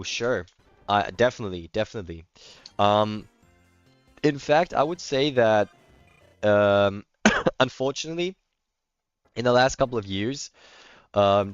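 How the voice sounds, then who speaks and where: A man's voice: on the low side, clean tone, no room sound, dull audio. A man with a German accent, a native German speaker, chatting casually as he livestreams a game and answers viewer comments. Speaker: livestreamer playing a video game. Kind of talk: casual chat, replying to stream comments.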